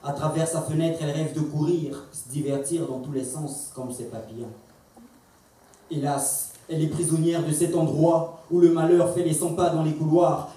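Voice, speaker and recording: A man performs slam poetry, heard from a distance in a very echoey hall. His voice is soft-edged in tone, and his delivery is solemn, rhythmic and impassioned. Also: fairly high pitch. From Congo. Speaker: slam poet. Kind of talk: dramatic slam poetry recitation on stage. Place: indoor performance venue.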